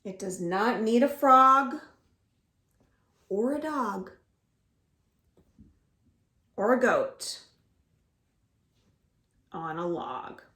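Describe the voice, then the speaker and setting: A woman hosting a children's storytime from home, reading aloud expressively with deliberate, dramatic emphasis for young listeners. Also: mid-range voice, lively intonation, sharp tone. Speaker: library storytime host. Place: indoors at home. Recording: roomy, echoing home recording.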